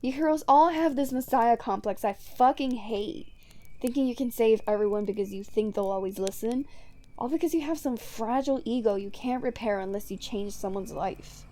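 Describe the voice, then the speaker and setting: Girl doing a smug villainess for asmr roleplay, kinda high but mellow voice, teasing n sarcastic, drawly. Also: moderate pace, a little hiss or hum, lightly acted. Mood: exasperated, bitter. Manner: teasing, drawling, sardonic, casually profane. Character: smug, sarcastic female supervillain taunting a hero. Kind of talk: ASMR roleplay audio drama monologue.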